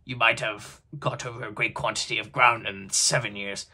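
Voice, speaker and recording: A man's voice: on the low side, fairly even intonation, moderate pace, sharp tone, breathy edge, heavily acted. A man playing an uneasy, businesslike old miser who speaks hesitantly and deferentially in a storybook reading. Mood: businesslike, musing.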